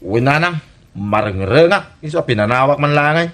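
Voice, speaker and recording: Man playing boastful cheating husband, Ilocano radio comedy, lively swinging pitch, exclamatory, defensive. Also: moderate pace, close to an everyday voice. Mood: annoyed protest. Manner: exclamatory, dismissive, whining protests.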